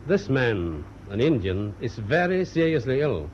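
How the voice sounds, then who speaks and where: Grave, formal ship's doctor. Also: male voice, medium pitch, very animated, darkish tone. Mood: formal, urgent. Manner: formal, measured, deferential but firm. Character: grave, dutiful ship's doctor on German vessel. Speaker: actor in a radio play. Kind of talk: British radio drama acting. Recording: dull old radio recording, faint noise.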